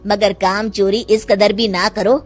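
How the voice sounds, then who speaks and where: A woman's voice: mid-range voice, level tone, unhurried, soft background music, close to an everyday voice. A woman doing a firm mother-in-law. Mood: stern. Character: patient middle-aged mother-in-law, kind but firm.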